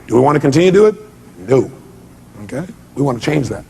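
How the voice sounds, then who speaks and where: A man's voice: mid-range voice, expressive, fast, vocal fry, darkish tone. A man giving a motivational seminar talk to an audience, speaking emphatically and pressing points with rhetorical questions.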